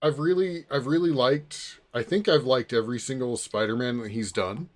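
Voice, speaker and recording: A man in his forties hosting a casual film discussion, thinking aloud in a halting way with repeated starts. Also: medium pitch. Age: 40s. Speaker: podcast host.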